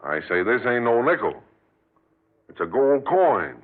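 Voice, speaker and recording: An actor playing a chatty, good-natured roadside coffee seller in an old-time radio drama, drawling and colloquial, with a rural American accent. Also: male voice, medium pitch, big pitch swings, unhurried, phone-quality sound. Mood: puzzled, insistent. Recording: thin band-limited vintage radio recording.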